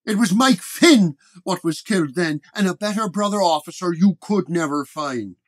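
Male actor as beefy 1930s transit cop for radio drama: gruff, indignant, folksy, slightly high-set voice. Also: animated, normal speed. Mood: angry. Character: florid, hot-tempered beefy Irish-American transit policeman.

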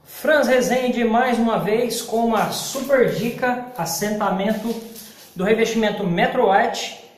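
A man's voice: high-pitched, a little slow. Chatty man in an echoey bare room. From Brazil. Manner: chatty, calls viewers "pessoal" and "galera". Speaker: tile-setting instructor. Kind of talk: casual how-to tutorial. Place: unfinished apartment kitchen, indoors. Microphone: camera at close range.

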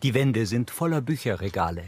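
A man's voice: mid-range voice, lively intonation, a little fast, a little mellow, slightly rough. A man giving neutral, factual scripted scene narration in the present tense for a crime series.

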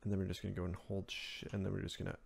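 Male tutorial host from Norway, non-native speaker, lowish voice, informal and rambling screen-recording narration. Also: completely flat delivery, rapid-fire, gravelly, a little mellow, husky. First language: Norwegian. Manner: rambling, offhand, informal asides. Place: indoors at a computer, screen recording voice-over.

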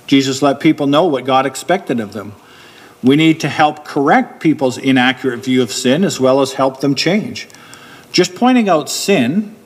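A middle-aged man preaching a sermon from a church pulpit. He explains steadily and slows down to stress key points. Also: medium pitch. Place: church hall with a wooden pulpit.

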